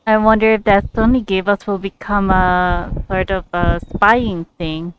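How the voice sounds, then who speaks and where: A woman's voice: mid-range voice, fairly even intonation, measured pace, muffled recording, slight background noise. A woman gamer giving casual commentary while playing through a story.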